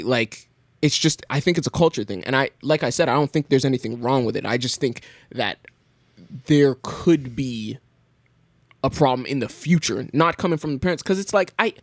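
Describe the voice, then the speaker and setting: Young man musing haltingly, dry sound. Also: mid-range voice, no echo. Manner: thoughtful, halting, many restarts. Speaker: podcast guest. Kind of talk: casual conversation.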